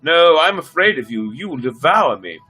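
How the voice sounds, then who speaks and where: A man's voice: fairly high pitch, big pitch swings, normal speed, bright, forceful tone, soft background music, pitched above the performer's own voice, poor audio. A man voicing a lost, weeping little girl from a fairy tale, plaintive, wary and dramatic. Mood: frightened refusal. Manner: plaintive, dramatic, repetitive lamenting.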